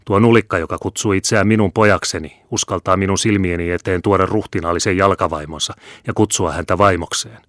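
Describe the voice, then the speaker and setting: A man's voice: low voice, steady tone, a bit bright, no echo, studio quality. A man voicing a proud, wrathful old peasant patriarch who declaims sternly and thunders in anger. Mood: thundering.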